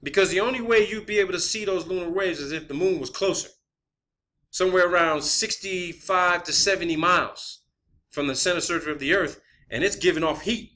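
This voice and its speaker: A man's voice: high-pitched, brisk, pushed and bright, low-quality recording. A man giving an informal opinion monologue as a video host, emphatic and combative, often swearing. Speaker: video host presenting own theory.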